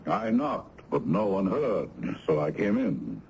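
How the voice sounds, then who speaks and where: Slow, drawling, smug court astrologer. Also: male voice, low-pitched, very animated, gravelly, darkish tone, exaggerated voice. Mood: smug, insinuating. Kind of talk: old-time radio drama acting. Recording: dull vintage radio recording, faint noise.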